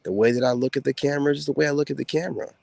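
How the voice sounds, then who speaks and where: A soft-spoken man, a former soldier, talks casually to the camera to his women viewers in a relaxed, drawling way with many fillers. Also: medium pitch, racing, vocal fry, no room sound. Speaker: content creator speaking to women viewers. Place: outdoors.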